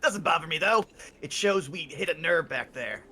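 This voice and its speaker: A man playing a wry drifter who slouches in a space-station bar, scornful of those in charge. His voice is bright and forceful, sitting a bit high for a man. He keeps a laid-back, defiant edge. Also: animated, normal speed, a little hiss or hum. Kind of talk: voicing a game character live.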